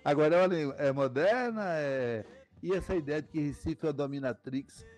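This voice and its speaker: A man's voice: on the high side, expressive, moderate pace, a little mellow, faint music behind. An older man from Paraíba, Brazil, hosting a podcast interview in a chatty, rambling way. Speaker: podcast host. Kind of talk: casual interview chat.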